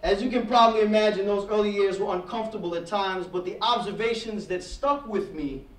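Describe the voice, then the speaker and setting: A man's voice: sits high, a little fast. A man in his thirties telling his personal story to a classroom audience at a heritage month event, standing away from the podium mic. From Trinidad. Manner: reflective storytelling, gestures while speaking, rising intensity. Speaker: guest speaker. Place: classroom with projector screen. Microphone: podium mic, speaker standing away from it. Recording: echoey room from far off.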